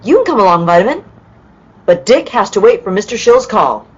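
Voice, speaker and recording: A woman's voice: medium pitch, very animated, brisk, husky. An actress playing an earnest, helpful young woman assisting a detective in an old-time radio play. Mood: eager, helpful. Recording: dull, lo-fi call audio.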